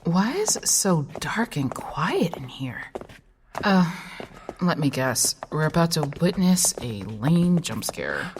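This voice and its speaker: A woman's voice: low-pitched, wildly swooping pitch, takes it slowly, background noise, exaggerated voice. An actress playing a skeptical young woman with a dry, sarcastic manner in an audio drama.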